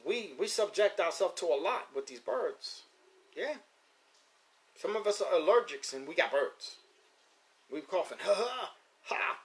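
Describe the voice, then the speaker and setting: A man in his fifties hosting a live stream about his pigeons, talking casually and repeating points for emphasis. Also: on the high side. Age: 50s. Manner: loose, repetitive, emphatic street-casual talk. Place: at home near his pigeon loft.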